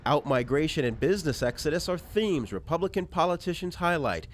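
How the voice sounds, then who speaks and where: Male news reporter, scripted narration, lively varied intonation, slightly high pitch, background noise. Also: brisk. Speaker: political TV reporter.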